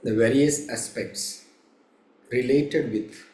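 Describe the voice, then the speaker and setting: A man guiding a meditation as a teacher, speaking in measured, halting short phrases with long pauses between them. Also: mid-range voice, dark tone. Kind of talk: slow guided meditation instruction. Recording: roomy, echoing room sound.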